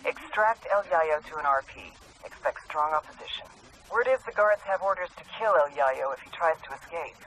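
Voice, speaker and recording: Male mission handler, forceful tone, slightly high pitch, matter-of-fact, faint noise. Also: lively intonation, moderate pace, rough recording. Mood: businesslike. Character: businesslike intelligence handler giving terse mission briefings. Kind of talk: video game mission briefing.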